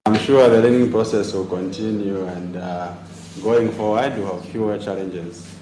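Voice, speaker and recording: Deep-voiced man in an echoey room. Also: fairly even intonation, moderate pace, dark tone. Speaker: local official. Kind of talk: formal spoken remarks with pauses. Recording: echoey room with faint noise.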